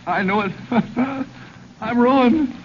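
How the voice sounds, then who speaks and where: A man's voice: extremely high pitch, extremely slow, full character voice. A man playing a nerve-shattered veteran store Santa who laments melodramatically, in 1930s radio comedy style. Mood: despairing. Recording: muffled, hissy 1930s radio recording.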